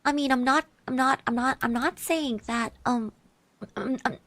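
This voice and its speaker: A young woman voicing a shy, kind eighteen-year-old girl classmate in an ASMR roleplay, soft and gentle, hesitant and reassuring, close to the mic. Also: high voice, moderate pace, no echo. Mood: flustered, shy. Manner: hesitant, bashful, stammering, reassuring. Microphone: close microphone.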